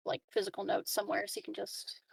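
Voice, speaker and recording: A woman's voice: medium pitch, completely flat delivery, fast talker, creaky, breathy edge, no room sound. A woman talking casually and hesitantly as a player in a tabletop game.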